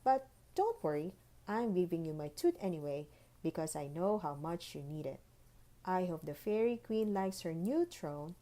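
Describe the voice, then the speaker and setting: A woman's voice: on the low side, expressive, normal speed, warm tone, natural voice. A woman reading the letters of a polite, clever little girl in an earnest, chatty storybook manner. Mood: warm, grateful.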